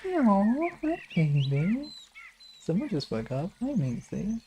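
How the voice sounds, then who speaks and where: A young man chatting casually on a livestream in a rambling way, sometimes slipping into soft baby talk. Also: high-pitched, wildly swooping pitch, takes it slowly, a little hiss or hum. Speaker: live streamer.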